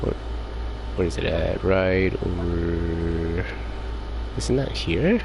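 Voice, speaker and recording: A man's voice: sits low, takes it slowly, vocal fry, background noise. A man casually commenting while playing a game, reading its text aloud with mumbled asides. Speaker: gamer.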